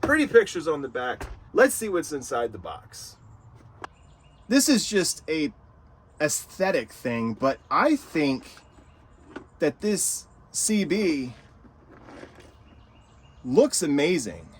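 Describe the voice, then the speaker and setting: A man's voice: on the high side, very animated, slow, pauses often, slightly rough. A man hosting a casual product review vlog in an enthusiastic way. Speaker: video host reviewing gear.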